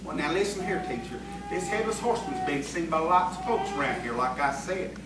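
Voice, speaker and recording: Man acting high-pitched, excitable rustic tavern storyteller, echoey. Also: fairly quick, natural voice. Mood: defensive. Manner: folksy, emphatic, defensive. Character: excitable rustic tavern regular telling ghost sightings. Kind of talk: audio theatre acting. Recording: very echoey, distant, noisy stage recording.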